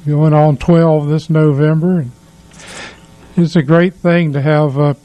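Male community radio voice, slightly high but soft-toned, measured and thoughtful, dry studio sound. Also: little pitch movement, no echo. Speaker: community radio station representative. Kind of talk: casual studio conversation.

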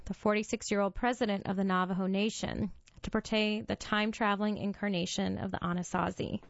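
Woman, magazine reader, steady read-aloud narration, dry dull lo-fi sound. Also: medium pitch, no echo. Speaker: reader for a reading service.

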